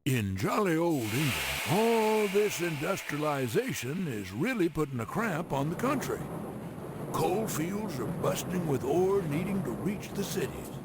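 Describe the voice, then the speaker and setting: An actor playing a crusty, teasing old American railroad man, narrating game cutscenes in a folksy, theatrical way with a gravelly voice. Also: on the high side, big pitch swings, unhurried, noisy background. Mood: storytelling. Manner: folksy, scolding, colorful old-time slang.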